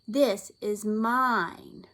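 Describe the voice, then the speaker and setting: Woman putting on a bratty little girl, high squeaky voice, indignant whining, slow drawn-out complaints, storybook read-aloud. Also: lively intonation, slight background noise. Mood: indignant whine. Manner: whiny, indignant, sing-song complaining.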